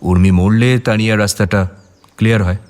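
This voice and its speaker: A man's voice: lowish voice, steady tone, quick pace, a little mellow, natural voice. A man playing a defensive, agitated film actor under suspicion, protesting emphatically in a radio drama.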